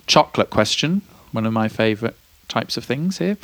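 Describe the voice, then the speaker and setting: A man's voice: medium pitch, animated, moderate pace, a bit bright, no room sound. A British man hosting a podcast, chatting in a friendly, encouraging way and asking lots of tag questions. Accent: British English. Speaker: podcast host. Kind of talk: friendly interview chat. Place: indoor room at a youth music week.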